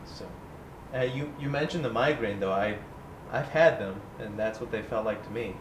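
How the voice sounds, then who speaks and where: A man's voice: fairly low pitch, moderate pace, natural voice. A man playing an earnest writer in a staged reading of a literary drama. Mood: hesitant. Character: earnest male writer presenting his own story. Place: indoor room at a staged reading. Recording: roomy, noisy live recording.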